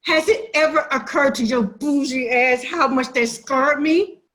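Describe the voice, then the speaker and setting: A woman's voice: high voice, measured pace, fairly bright tone. A woman acting a hurt, defiant, sassy sister who makes emphatic accusations in a dramatic play reading. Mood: accusing, hurt. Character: wounded, defiant younger-minded sister confronting her sibling.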